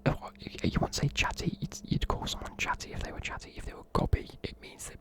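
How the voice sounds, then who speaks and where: Whispering British ASMR presenter. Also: fast talker. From United Kingdom. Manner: informal, chatty, matey. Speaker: presenter explaining slang.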